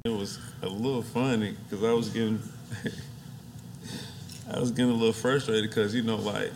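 A man from Atlanta telling a story in a rambling, halting way. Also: on the low side, steady tone, very noisy. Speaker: guest.

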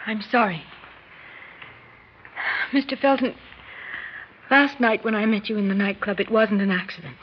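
An actress playing an earnest young woman, a rich man's daughter, pleading softly in an old radio drama. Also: medium pitch, normal speed, pauses often, a little hiss or hum, lightly acted. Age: young adult. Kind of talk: 1960s radio drama acting. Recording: dull lo-fi old radio recording.

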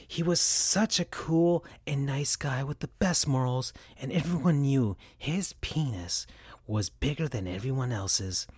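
A man voicing a sad, flirty female bat in a soft, subdued voice while reading a story aloud. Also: medium pitch, a little slow, husky, no echo, studio quality.

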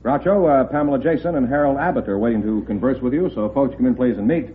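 A man's voice: mid-range voice, fast, phone-quality sound, faint music behind. A man announcing a 1950s radio quiz show in the formal presenting style of that era. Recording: thin old radio broadcast recording.

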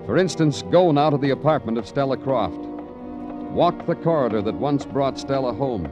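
An actor playing a weary, wry police detective who speaks in clipped, lyrical lines in an old-time radio drama. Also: male voice, mid-range voice, expressive, normal speed, muffled recording, natural voice. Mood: brooding, wry. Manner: brooding, lyrical, clipped delivery. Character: weary, wry, poetic male police detective narrating his case. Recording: vintage radio recording with music.

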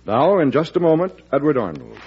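Lively, earnest American radio announcer, male. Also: on the high side, brisk. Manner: earnest, formal promotional delivery. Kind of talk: 1940s radio announcing, scripted. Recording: muffled vintage radio recording.

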